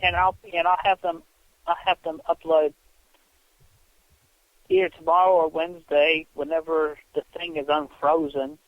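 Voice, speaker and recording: A man's voice: a little high, little pitch movement, moderate pace, pauses often, no room sound. A man on a phone call giving short, defensive replies, hesitantly repeating the starts of his phrases. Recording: tinny narrowband phone line.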